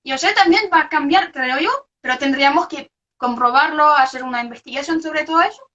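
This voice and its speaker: A young woman from Belgium with a high, bright voice, speaking Spanish as a second language with plenty of fillers and restarts. The sound is dull and lo-fi, like audio from an online video call. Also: fairly quick. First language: not Spanish. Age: young adult. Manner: hesitant, many fillers and restarts. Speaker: researcher guest. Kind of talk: casual interview over a live video stream.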